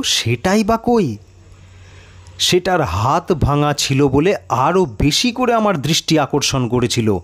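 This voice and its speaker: A man narrating an eerie mystery story in a dramatic, suspenseful and expressive way. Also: mid-range voice. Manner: expressive, suspenseful, with rhetorical questions.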